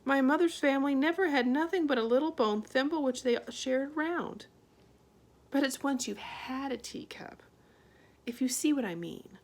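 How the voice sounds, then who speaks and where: A woman's voice: sits high, normal speed, voice pushed up. A woman voicing an anxious, fretful middle-aged mother who scolds dramatically and trembles. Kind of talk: audiobook character reading.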